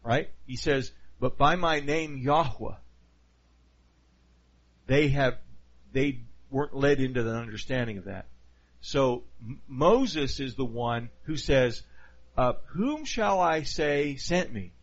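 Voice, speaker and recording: A man's voice: medium pitch, expressive, slow, pauses often. A man preaching a teaching sermon in a conversational way, often putting questions to his listeners. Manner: conversational, asks the audience questions. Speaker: pastor. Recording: dull lo-fi sound.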